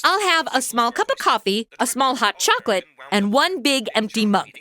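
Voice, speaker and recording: A woman's voice: fairly high pitch, sing-song, moderate pace, pushed and bright. An actress playing a breezy, irreverent modern woman with a flippant, slangy manner in a comedy audio drama.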